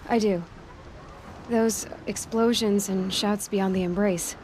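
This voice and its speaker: A woman's voice: medium pitch, fairly even intonation, takes it slowly, darkish tone, slight background noise, close to an everyday voice. An actress playing a headstrong, curious young female outcast hunter in a video game, talking in a direct, questioning and slightly wry way. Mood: earnest. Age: young adult. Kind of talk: video game cutscene acting.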